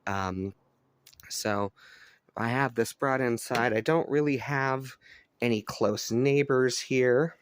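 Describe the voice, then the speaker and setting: A man from Colorado hosting a relaxed show-and-tell about his radio gear, easygoing and chatty with frequent ums, speaking indoors at home. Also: medium pitch, slow, clean tone, no echo. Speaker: radio hobbyist channel host. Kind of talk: casual show-and-tell demonstration.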